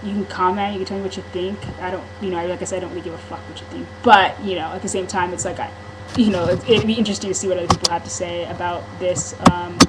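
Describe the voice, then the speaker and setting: A woman's voice: lowish voice, steady tone, fast talker, few pauses, noisy background. A woman talking candidly in a casual vlog monologue, rambling, with frequent ums and restarts. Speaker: vlogger.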